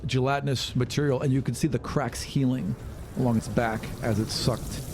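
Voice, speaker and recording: A man working as game master, improvising casual narration for a tabletop game in a halting, conversational way. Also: medium pitch, fairly even intonation, over music. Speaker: game master of an actual play podcast.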